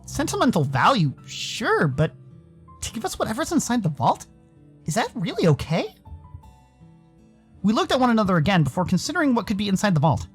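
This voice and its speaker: Man as a lively, curious furry protagonist. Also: high voice, fairly quick, long pauses, breathy edge, faint music behind, full character voice. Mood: skeptical, questioning. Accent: American. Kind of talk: visual novel narration and dialogue.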